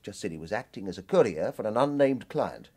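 A husky, rather low-voiced retired hitman in a radio crime drama. His delivery swings widely in pitch, sardonic and defiant, dodging questions with dry wit. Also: big pitch swings, brisk, darkish tone, exaggerated voice. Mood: guarded. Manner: sardonic, evasive.

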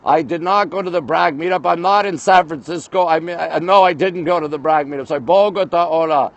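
A man from Montreal, Canada hosting a casual livestream from a high-rise balcony at night, greeting viewers by place and reading comments aloud. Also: brisk, muffled recording. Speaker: livestream host. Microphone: phone camera held by speaker.

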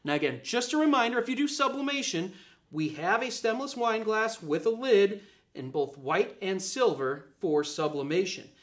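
A man's voice: sits high, expressive, moderate pace, fairly bright tone. A man, giving an upbeat live product demo in an office room, with many fillers and repeated points. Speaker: product presenter. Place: office room with a display table.

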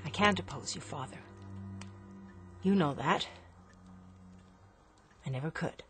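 An actress playing a restless, dissatisfied daughter in an audio drama, earnest and pointed. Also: female voice, low voice, slow, fairly bright tone, faint music behind, full character voice. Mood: resigned. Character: restless, dissatisfied grown daughter yearning for change.

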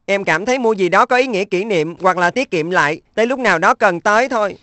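A woman's voice: medium pitch, lively intonation, fast, a bit bright, dry recording, close to an everyday voice. Woman as an earnest cartoon schoolboy, dry sound. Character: earnest, thoughtful schoolboy, middle sibling. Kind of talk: Vietnamese-dubbed children's cartoon.

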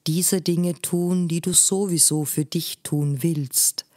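A woman narrating a literary story in a measured way, phrase by phrase. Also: sits low, warm tone, no room sound, studio quality. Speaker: audiobook narrator.

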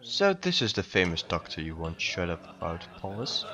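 A man's voice: low voice, sing-song, normal speed, noisy background. A man playing an impatient pushy president. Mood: self-important. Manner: impatient, self-important.

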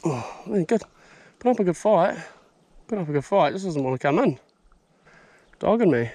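A young man with a New Zealand accent giving excited, slangy running commentary while fishing outdoors at a pond, recorded on an action camera. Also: high voice, moderate pace. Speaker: fishing vlogger. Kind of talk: casual outdoor vlog commentary. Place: outdoors by a high country pond. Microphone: action camera mounted on the body.

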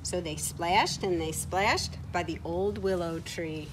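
A middle-aged woman reading a picture book aloud to children in an expressive way, recorded outdoors. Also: on the low side, slow pace. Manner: expressive, acting out short quoted words. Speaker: children's story time reader. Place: outdoors on a farm, beside horses. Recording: outdoor ambient noise from camera mic.

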